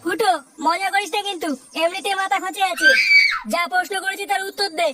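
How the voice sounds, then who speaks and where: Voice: very high-pitched, little pitch movement, fairly quick, raised voice, over loud music. A performer voicing a bossy, hot-headed cartoon club chief who scolds in a comedy style.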